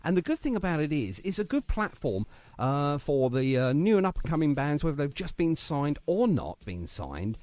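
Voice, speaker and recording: A man's voice: fairly high pitch, very animated, normal speed, few pauses, a little mellow. A man hosting a radio show in casual interview chat, talking in a chatty, enthusiastic and effusive manner.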